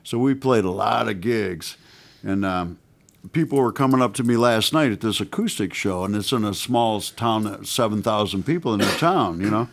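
A man's voice: fairly low pitch, animated, normal speed, creaky. A man in his sixties from the United States, a guest musician chatting casually in a small radio studio, laid-back and peppering his talk with "man" and "you know". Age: 60s. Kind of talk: casual radio interview chat. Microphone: stand mic at guitar.

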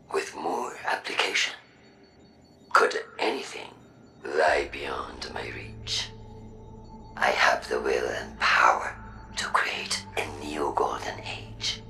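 A woman playing an imperious machine-mind villain in a video game, grandiose and coldly persuasive, in a breathy, processed voice. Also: extremely low pitch, sharp tone, heavily acted. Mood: grandiose, commanding. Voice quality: breathy, whispery, gravelly. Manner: measured, grandiose, deliberate. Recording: echoing, distant, with music behind.

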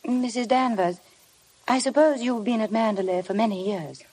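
An actress playing a timid, eager young bride in a 1950s radio drama, speaking earnestly and politely. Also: female voice, on the high side, normal speed, a little mellow, husky, poor audio. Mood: nervous, polite.

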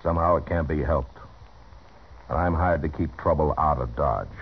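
Very deep-voiced stern frontier marshal. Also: male voice, moderate pace. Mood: warning. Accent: American. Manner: blunt, authoritative, terse. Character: stern, seasoned US marshal in the Old West. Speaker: radio drama actor. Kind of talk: 1950s radio Western acting. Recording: muffled, noisy 1950s radio recording.

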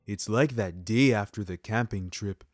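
A man's voice: on the low side, normal speed, no echo, studio quality, close to an everyday voice. A man voicing an eccentric, naively earnest landlord in an audio story, with a quirky, emphatic delivery. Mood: earnest, uneasy. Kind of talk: scripted audio story dialogue.

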